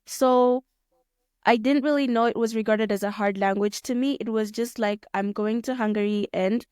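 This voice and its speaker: A young woman from Kenya answering interview questions in a relaxed, thoughtful way, often rephrasing mid-sentence with plenty of fillers. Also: a little high, normal speed, smooth, no echo, clean studio sound. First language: Swahili. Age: young adult. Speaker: guest, pilot student.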